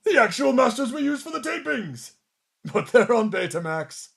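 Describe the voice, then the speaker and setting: An actor playing a former television entertainer in a radio play, with a gravelly voice and a theatrical, swooping delivery. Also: male voice, very high-pitched, sing-song, normal speed, exaggerated voice. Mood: nostalgic, obliging. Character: Lord Billington, a former TV show entertainer.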